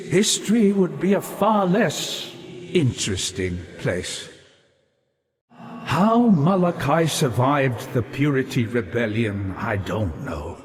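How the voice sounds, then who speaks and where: Slow, melancholy scholar in a reverberant hall. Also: male voice, mid-range voice. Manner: measured, theatrical, literary phrasing. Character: scholarly, melancholy male ghostly scholar, weary and wryly reflective. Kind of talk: scripted video game character dialogue. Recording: long hall reverb, faint noise.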